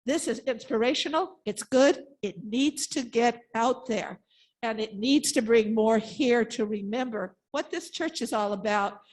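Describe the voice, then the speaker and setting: High-voiced elderly woman, speaking earnestly. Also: poor audio. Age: 70s or older. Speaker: congregation member responding to a message. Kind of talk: heartfelt impromptu sharing at a church gathering.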